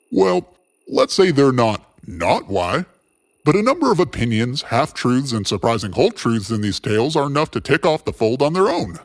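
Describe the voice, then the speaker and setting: A man delivering a theatrical scripted monologue as a show host, in a wordy, playful, grandiloquent manner. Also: mid-range voice. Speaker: host of a fiction podcast.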